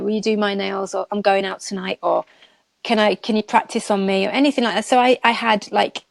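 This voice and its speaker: A woman's voice: mid-range voice, creaky, no echo. A woman in her thirties, a nail technician chatting casually as a guest and rambling with laughs. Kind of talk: casual live chat.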